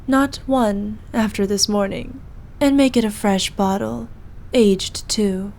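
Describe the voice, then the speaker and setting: A woman's voice: medium pitch, takes it slowly, darkish tone, a little hiss or hum. A woman playing an evil, imperious queen in a scripted roleplay, speaking in a haughty, formal, commanding manner. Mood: pleased, composed. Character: evil imperious queen, cruel to subjects, doting on her knight. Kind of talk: scripted ASMR roleplay acting.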